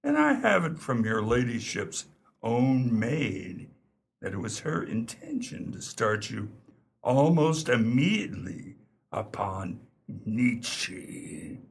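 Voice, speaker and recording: A discreet, unflappable English valet in a radio drama. He is measured and formal, with a husky, creaky voice that moves up and down expressively. Every opinion comes with perfect deference. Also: mid-range voice, sing-song, heavily acted. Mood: frank, measured. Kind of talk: radio play acting.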